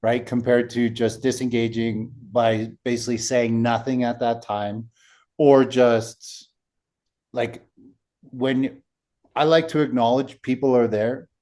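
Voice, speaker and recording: Deep-voiced man telling a story. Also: flat delivery, takes it slowly, a little mellow. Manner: storytelling, frequent "right?" tags. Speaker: podcast host. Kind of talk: casual podcast conversation.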